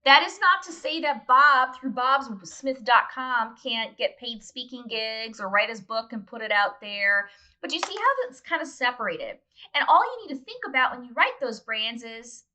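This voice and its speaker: A woman's voice: high-pitched, bright, forceful tone. A woman guest explaining brand advice in a conversational, emphatic, instructive way, speaking directly to listeners. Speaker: guest, brand consultant and author.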